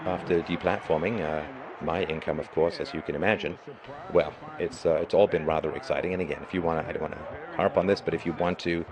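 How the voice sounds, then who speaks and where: A middle-aged man speaks in a very low, hoarse voice and lectures emphatically in long spoken runs. His stressed points jump up in force. A crowd murmurs behind him. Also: fairly even intonation, fast. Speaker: live stream host. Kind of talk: monologue commentary.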